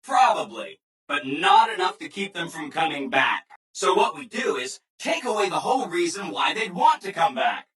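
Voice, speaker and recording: Bright, relentlessly chipper robot helper. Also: male voice, sits high, sing-song, moderate pace, husky, exaggerated voice, rough recording. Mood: excited. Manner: perky, over-polite, chirpy exclamations. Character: relentlessly cheerful, eager-to-please reprogrammed robot assistant. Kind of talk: video game character dialogue.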